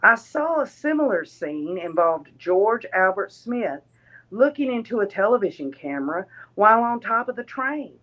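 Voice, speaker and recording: A woman reading a written first-person account aloud in a measured way, occasionally stumbling over words. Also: medium pitch, a bit bright, dull audio.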